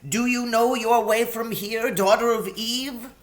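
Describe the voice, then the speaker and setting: A man's voice: extremely high pitch, fairly even intonation, measured pace, pitched above the performer's own voice. A man voicing a timid, kind male faun in a storybook, weepy and guilt-ridden, pleading in an anguished stammer. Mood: apologetic, tender.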